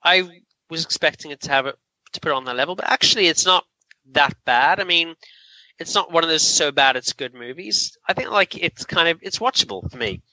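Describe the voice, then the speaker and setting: A man's voice: fairly high pitch, animated, a little fast, pushed and bright, dry recording, low-quality recording. A man talking casually as a co-host on a film review podcast.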